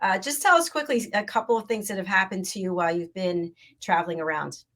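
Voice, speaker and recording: An older woman hosting a show, delivering an upbeat welcome and then chatting warmly with a guest. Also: mid-range voice, fast. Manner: enthusiastic, laughs often. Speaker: host and network president. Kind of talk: scripted intro then casual chat.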